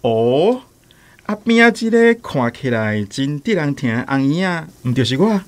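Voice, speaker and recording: 台灣腔中年後生，溫和好奇. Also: male voice, fairly high pitch, big pitch swings, moderate pace. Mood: mildly curious. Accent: Taiwanese. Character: gentle middle-aged son questioning his mother. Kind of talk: Taiwanese radio drama acting.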